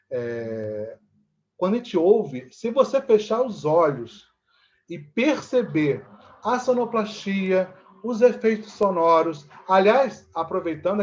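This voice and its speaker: A man with a Brazilian Portuguese accent explaining things conversationally as an interview guest, haltingly, often checking in with short tag questions. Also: a little high, darkish tone, dull audio. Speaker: actor and theatre teacher, interview guest.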